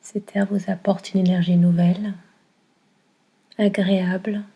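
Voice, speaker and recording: A woman guiding a yoga nidra meditation in a calm, soothing manner, with a soft, breathy delivery. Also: mid-range voice, unhurried, muffled recording. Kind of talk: guided meditation.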